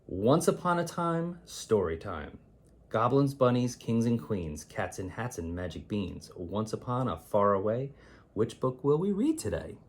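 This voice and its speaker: Male storyteller for a kids' picture book: lowish, husky voice, theatrical delivery, stretched key words. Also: sing-song, a little slow. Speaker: teacher reading a picture book aloud. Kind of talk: expressive children's read-aloud of rhyming poems.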